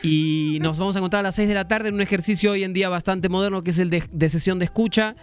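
A man's voice: sits high, steady tone, fast talker, clean tone. A man from Argentina, a musician guest on a radio show, talking casually with many restarts and fillers.

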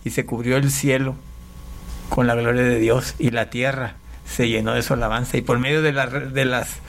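A middle-aged man from Panama giving a conversational personal testimony as an interview guest, often repeating words and clearing his throat as he goes. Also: mid-range voice, little pitch movement, moderate pace. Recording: clearly noisy background.

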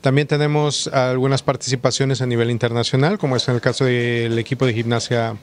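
Male sports administrator, hesitant delivery full of fillers, mid pitch. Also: flat delivery, fairly quick, a little hiss or hum. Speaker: university sports coordinator. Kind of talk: interview soundbite.

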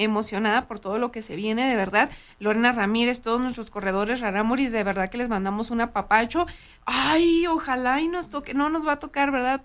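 A loud, excitable woman with a shrill, squeaky voice and a northern Mexican accent, chatting casually as a radio co-host.

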